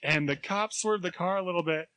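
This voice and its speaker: Bright-voiced man telling lively stories. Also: sits high, fairly quick. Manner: animated storyteller who acts out voices and laughs often. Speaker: podcast co-host. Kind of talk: casual chat, storytelling.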